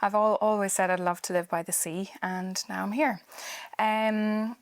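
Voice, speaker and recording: A woman's voice: medium pitch, fairly even intonation, unhurried, no room sound. Chatty Irish woman, dry close sound. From Ireland. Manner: warm, chatty, with frequent ums and restarts. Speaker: guest, sewing teacher. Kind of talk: casual podcast chat.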